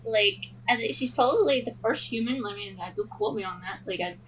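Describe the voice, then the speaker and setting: High-voiced, expressive young-sounding woman chatting. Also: fairly quick, slight background noise, low-quality recording. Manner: giggly, expressive storytelling. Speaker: podcast co-host. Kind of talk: casual film chat.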